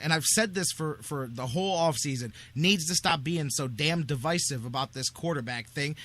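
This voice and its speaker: An American man hosting sports talk, making blunt, opinionated points in a colloquial, forceful style. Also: fairly high pitch. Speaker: sports talk radio host.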